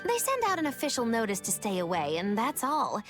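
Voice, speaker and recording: A woman's voice: fairly high pitch, huge pitch swings, brisk, over music. An actress playing a flippant, teasing woman with a sardonic delivery in a video game.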